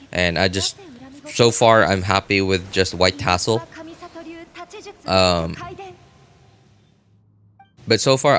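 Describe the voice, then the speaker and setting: A man chatting casually as a game livestreamer, thinking aloud in a halting way and often repeating himself. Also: fairly low pitch, a bit bright.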